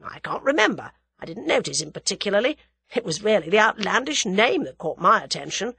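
A woman voicing a sensible, wry young English man in a dramatised audiobook, with a measured, sceptical manner. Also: medium pitch, big pitch swings, gravelly, pushed and bright, husky, dry recording, voice pushed up, heavily acted. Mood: thoughtful. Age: young adult.

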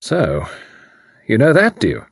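A man's voice: medium pitch, wildly swooping pitch, unhurried, creaky, husky, no echo, voice pushed up, exaggerated voice. A man voicing a suave, mocking enemy commander who turns grimly threatening. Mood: mocking, smooth. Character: mocking menacing male enemy spy commander.

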